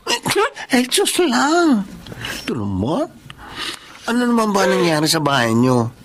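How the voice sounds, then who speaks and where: A woman's voice: medium pitch, huge pitch swings, unhurried, slight background noise. A woman playing a chatty, teasing hairdresser friend in a radio drama, joking and theatrical. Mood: teasing, playful. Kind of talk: Tagalog radio drama acting.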